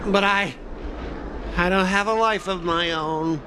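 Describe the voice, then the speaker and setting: A man's voice: high voice, a little slow, noisy background. A man playing an insecure, remorseful, overworked corporate office worker in a video game, hesitant and emotional, with stammers. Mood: bitter, dejected. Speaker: game character.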